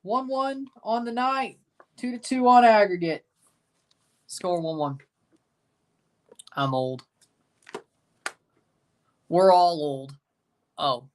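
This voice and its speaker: A man's voice: high-pitched, takes it slowly, long pauses. Young man, twenties, livestream host, casual match watchalong, joking banter. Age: 20s. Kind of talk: live match watchalong commentary.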